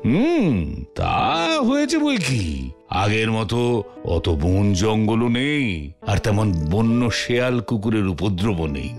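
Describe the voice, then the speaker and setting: A man voicing a jovial, fond middle-aged uncle in a Bengali cartoon, theatrical and gently cautioning. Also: on the low side, big pitch swings, takes it slowly, full character voice. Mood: ominous, cautioning. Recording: music behind the voice.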